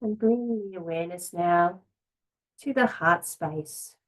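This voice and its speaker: A woman teaching a yoga class, giving calm, gentle relaxation guidance in short phrases with long pauses. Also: on the low side, low-quality recording.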